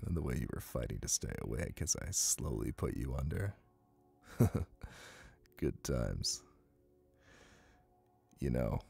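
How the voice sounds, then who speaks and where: An exceptionally deep, crackling voice from a male actor plays a smug, dominant hypnotist boyfriend in an ASMR roleplay. He coaxes and teases intimately, unhurried and breathy, yet soothing. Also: a little mellow, exaggerated voice. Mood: fond, playful. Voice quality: gravelly, breathy. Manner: slow, coaxing, teasing.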